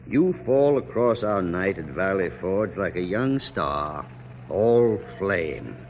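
An actor playing a weary, wry, middle-aged general in a formal, measured classic radio drama style. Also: male voice, deep voice, expressive, darkish tone, muffled recording, heavily acted. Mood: reflective. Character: weary, dignified American general of forty-five, dryly wry. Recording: noisy old radio recording.

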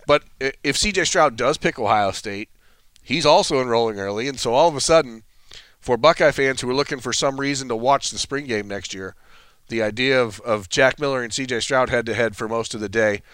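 A man's voice: medium pitch, fairly bright tone, clean tone, dry recording. Assured man talking college football. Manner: quick, assured, dry humor. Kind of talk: casual sports talk.

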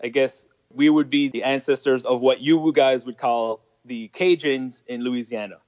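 A man's voice: mid-range voice, steady tone, normal speed, darkish tone, no echo, muffled recording. A man from Nova Scotia with an Acadian French accent, French his first language, chatting as an interview guest and laughing at his own jokes. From Nova Scotia, Canada. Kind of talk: casual storytelling interview.

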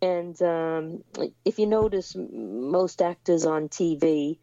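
A woman's voice: lowish voice, slow, warm tone, no echo, dull audio. A woman raised in the United States, a musician guest in a remote interview, talking hesitantly with many fillers and restarts.

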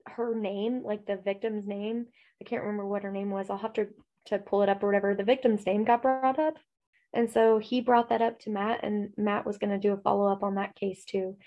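A woman's voice: mid-range voice, warm tone. A woman co-hosting a true-crime podcast, chatting casually with a stuffy nose, rambling with lots of fillers and laughs. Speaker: podcast co-host.

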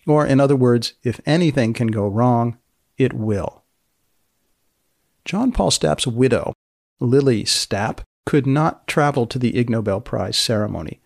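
A man hosting a podcast, reading scripted narration in a measured, deliberate, dryly deadpan way. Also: medium pitch, vocal fry, dry recording, studio quality. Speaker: podcast host.

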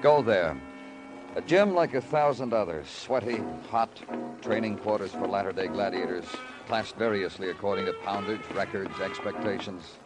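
An actor in an old-time radio crime drama playing a calm, matter-of-fact detective, terse and dry in clipped phrases. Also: male voice, lowish voice, moderate pace, loud music behind the voice, close to an everyday voice, low-quality recording. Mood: dry narrating. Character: calm, matter-of-fact male police detective narrating his case. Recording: vintage radio broadcast recording.

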